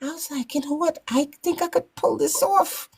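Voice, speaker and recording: A man from Trinidad with a Trinidadian accent, telling stories in a relaxed, rambling way as a guest in a casual interview. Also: very high voice, moderate pace. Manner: relaxed, rambling, frequent "you know what I'm saying". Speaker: guest musician.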